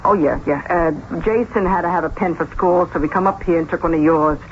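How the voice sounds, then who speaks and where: A woman's voice: on the low side, a little fast, natural voice. An actress playing a blunt, wry, middle-aged working-class landlady in an old-time radio drama, folksy and scolding. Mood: wry, breezy. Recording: dull, noisy old radio broadcast.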